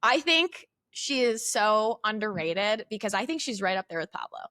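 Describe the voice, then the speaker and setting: A mid-pitched woman with a Chicago accent, talking casually as an interview guest. She rambles, restarts and fills with "like" and "you know". The sound is dry and close to the mic. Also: sing-song, moderate pace, sharp tone, no room sound. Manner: chatty and rambling, many fillers and restarts. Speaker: guest, company CEO.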